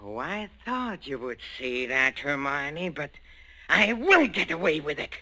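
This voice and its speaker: An actor in a 1940s radio drama playing a henpecked husband turned murderer, hesitant and menacing by turns. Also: male voice, fairly high pitch, big pitch swings, unhurried, sharp tone, full character voice. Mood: gloating menace. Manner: stammering, hesitant, then fervently menacing. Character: meek henpecked husband turned gloating, unhinged wife murderer. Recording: old radio broadcast with music.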